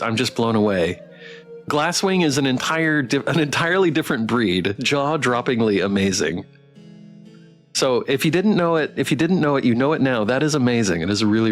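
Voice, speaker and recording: A man hosting a casual developer Q&A stream, effusive and halting as he repeats words and reads out live chat. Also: medium pitch, expressive, faint music behind. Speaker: host of a visual novel playthrough stream.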